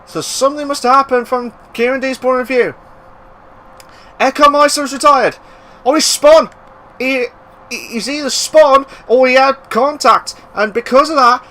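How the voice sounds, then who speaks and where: A man giving live racing commentary, excitable and bursting into loud exclamations at the action. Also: very high-pitched, a little slow. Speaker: race commentator.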